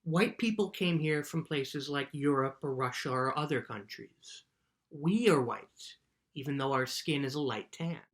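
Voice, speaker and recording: A woman playing a calm, patient mother in a children's book reading, explaining in a measured way. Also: sits low. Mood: serious.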